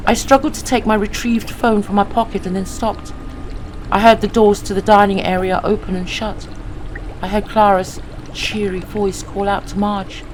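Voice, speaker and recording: A woman reading a scripted first-person horror story in a measured, dramatic, suspenseful way. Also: medium pitch, a little hiss or hum.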